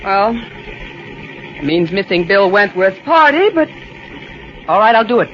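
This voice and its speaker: A woman's voice: medium pitch, very animated, slow pace. A woman playing a cool, sardonic, worldly accomplice with arch, pointed delivery in an old-time radio drama. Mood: arch, skeptical. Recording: muffled, noisy old radio recording.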